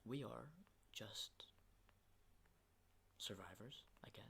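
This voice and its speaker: A man playing an unhinged, menacing survivor of a zombie apocalypse in a halting, intimate ASMR roleplay, in breathy whispers. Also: sharp tone, exaggerated voice. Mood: grim, bitter. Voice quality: breathy whisper with low creaky undertones.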